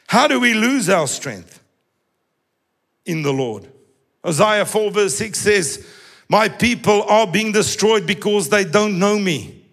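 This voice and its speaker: High gravelly man preaching a sermon. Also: animated, takes it slowly, long pauses. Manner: sing-song preaching that rises into shouts.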